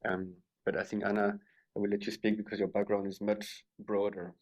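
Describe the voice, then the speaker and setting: Man, 30s, from French-speaking Switzerland, low voice, hesitant, thoughtful. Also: flat delivery, a little fast, a little mellow, poor audio. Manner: hesitant, thoughtful, many fillers. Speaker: architect, guest. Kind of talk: podcast interview answers.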